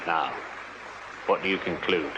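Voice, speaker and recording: An actor playing an unyielding Victorian police sergeant in a radio drama, English-accented, brisk, authoritative and dryly sardonic. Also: male voice, sits low, lo-fi sound, crowd noise, noisy background. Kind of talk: full-cast period radio drama acting.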